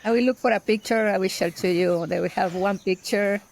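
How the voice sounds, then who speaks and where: Woman speaking halting second-language English. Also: mid-range voice, a little mellow. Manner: halting non-native English. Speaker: guest, permaculture farmer. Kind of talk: casual podcast interview.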